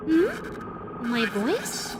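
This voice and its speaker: A woman's voice: sits high, extremely slow, exaggerated voice. A woman voicing a playful, lonely female animatronic fox in a roleplay audio drama, chatty, coy and teasing as she talks to the listener. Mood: sheepish, chatty. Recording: loud steady background noise.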